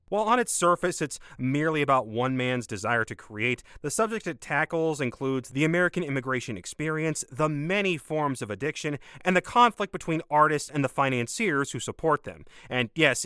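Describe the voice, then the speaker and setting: Hoarse male critic, scripted review read, animated pitch, bright tone. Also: no room sound, studio quality. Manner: measured, opinionated delivery. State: hoarse from a cold. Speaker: film critic and channel host. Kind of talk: scripted movie review roundup.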